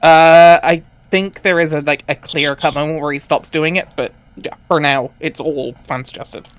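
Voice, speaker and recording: A man's voice: fairly high pitch, fairly even intonation, moderate pace, no room sound. A man chatting casually as he explains background details to a group, often hesitant and searching for words. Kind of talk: casual chat, commentary.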